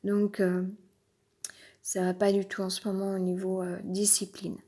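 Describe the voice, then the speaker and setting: A woman's voice: medium pitch, monotone, a little slow, mellow. Woman with a cold, rambling and hesitant. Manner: rambling, hesitant, many fillers and restarts. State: has a cold, stuffy. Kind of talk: improvised personal monologue. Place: uncomfortable spot with noise overhead.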